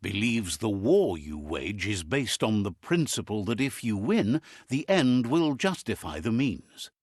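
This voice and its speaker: Male game narrator, low voice, measured storybook delivery, relays characters' speech. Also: very animated, a little mellow, husky, no room sound, studio quality.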